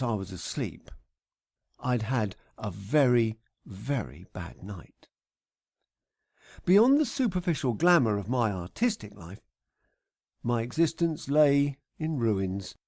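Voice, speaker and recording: Slow, expressive middle-aged male narrator. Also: mid-range voice, no room sound, studio quality. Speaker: author reading own audiobook. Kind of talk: scripted first-person comic narration.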